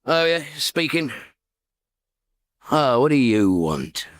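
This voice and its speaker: An actor playing a tipsy, self-satisfied bohemian painter in a radio drama, rambling and muttering to himself in a creaky voice. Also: male voice, mid-range voice, sing-song, slow, no echo, clean studio sound. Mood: distracted. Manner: rambling, mumbling to himself, drawling.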